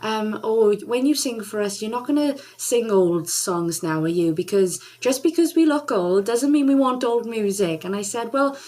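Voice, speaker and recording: A woman's voice: a little high, animated, moderate pace, hardly any pauses, darkish tone. A woman singer and charity ambassador chatting in an interview, bubbly and enthusiastic, laughing often as she talks. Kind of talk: casual interview chat.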